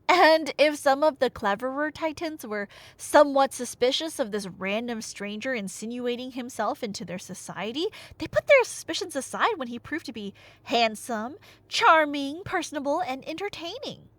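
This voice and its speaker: Animated, high-voiced woman storyteller, playfully teasing. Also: moderate pace, few pauses, no echo. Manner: playful, teasing, conversational with dramatic emphasis. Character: nerdy girlfriend excitedly retelling Greek myths. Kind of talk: animated casual storytelling roleplay to a listener, ASMR audio.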